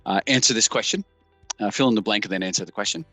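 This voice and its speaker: Guy interviewer, lowish voice, semi-formal, faint music under him. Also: quick pace, sharp tone, no room sound. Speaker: interviewer, host.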